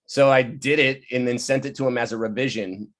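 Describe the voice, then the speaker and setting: A man telling anecdotes casually on a video call, lively and quick to act out what other people said. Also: medium pitch. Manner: animated, laughing often, acting out quoted people. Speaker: playwright and director leading a virtual table read. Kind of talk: casual, anecdotal storytelling chat. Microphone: video call microphone.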